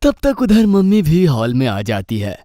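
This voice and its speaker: A man's voice: on the high side, huge pitch swings, measured pace, dark tone, no room sound, clean studio sound. A man reading scripted narration as the storyteller of a children's cartoon tale.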